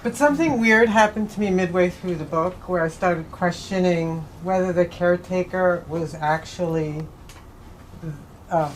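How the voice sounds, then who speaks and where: Woman, slightly low voice, informal audience question, conversational and rambling, faint background noise. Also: a little slow, low-quality recording. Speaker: audience member asking a question. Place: bookstore event space.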